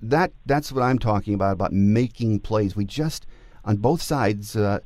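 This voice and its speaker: Male podcast host for conversational football analysis, lower-pitched voice, close dry sound. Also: moderate pace, a little mellow, no echo.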